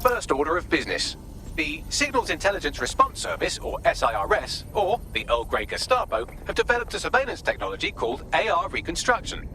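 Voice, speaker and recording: An actor playing a witty, sardonic AI hacker assistant in a video game, with dry, breezy, wordy sarcasm. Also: male voice, on the high side, big pitch swings, brisk, pushed and bright, faint music behind, full character voice. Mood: droll, explaining. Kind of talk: scripted video game dialogue.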